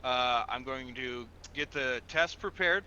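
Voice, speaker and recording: Man playing a very bright-voiced, methodical police officer. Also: mid-range voice, unhurried, muffled recording, slight background noise. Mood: procedural, patient. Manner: methodical, instructional, repeats himself, formal with sir. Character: patient, procedural male police officer at a traffic stop. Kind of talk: improvised online game roleplay.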